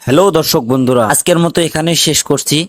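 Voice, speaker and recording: A male channel presenter with a North Bengal Bangladeshi accent reads a scripted sign-off to viewers. There is clear noise behind his voice. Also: on the high side, quick pace.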